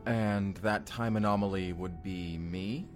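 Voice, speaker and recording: A man acting as a bewildered everyman in a sci-fi audio drama. His voice is on the low side, casual and questioning, with faint music behind it. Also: fairly even intonation, a little slow. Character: Benji, bewildered ordinary young man pulled through time.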